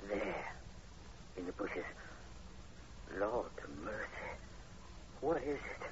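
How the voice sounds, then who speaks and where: A folksy, agitated country fellow frightened in a vintage radio horror play. His pitch is lively and varied. The sound is muffled with clear background noise. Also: slow. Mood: awed fear. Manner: folksy, agitated, rustic grammar. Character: frightened, superstitious rustic backwoods man. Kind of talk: 1940s radio horror acting. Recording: muffled, noisy old radio recording.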